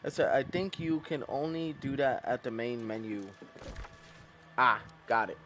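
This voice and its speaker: A man's voice: mid-range voice, unhurried, over music. A man streaming a game, chatting casually to viewers in a laid-back, rambling way with casual swearing. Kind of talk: casual gameplay commentary.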